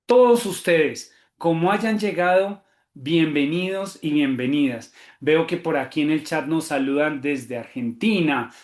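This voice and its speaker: Colombian male professor, higher voice, quick and lively with fillers, roomy echo on a call mic. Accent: Colombian Spanish. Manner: quick, animated talk with many fillers and restarts. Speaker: theology professor hosting a webinar. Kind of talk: webinar presentation.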